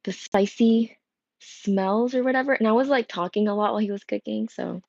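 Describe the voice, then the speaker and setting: A woman's voice: mid-range voice, moderate pace, creaky, a little mellow, muffled recording. A young woman chatting casually as a tarot reader, her voice worn and strained, rambling with many fillers and restarts. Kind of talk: casual livestream chat. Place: home room with coffee machine. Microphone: earbud headset mic.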